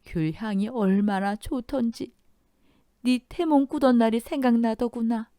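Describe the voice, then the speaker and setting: A woman voicing a frail, dying old mother-in-law in a Korean radio story, tender and sentimental, with slow, drawn-out reminiscing. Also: on the high side, dark tone, no room sound, clean studio sound, pitched above the performer's own voice, close to an everyday voice. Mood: wistful, dreamy.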